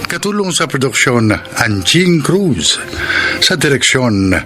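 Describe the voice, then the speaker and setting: A Filipino man reading scripted radio drama announcements in a formal, measured way. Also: mid-range voice, big pitch swings, sharp tone. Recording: prominent music behind the voice.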